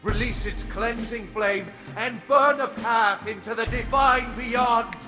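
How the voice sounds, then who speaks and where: Grandiose, slow alien zealot. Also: male voice, extremely high pitch, fairly even intonation, over music. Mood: fervent, exalted. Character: zealous alien prophet, grandiose religious leader. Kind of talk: video game villain oratory.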